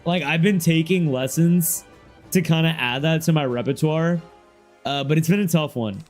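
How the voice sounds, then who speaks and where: A young man streaming a game and chatting casually with his viewers, rambling in heavy slang and often trailing off quieter. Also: on the high side, normal speed. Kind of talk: casual livestream chat, reading chat aloud. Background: faint game music.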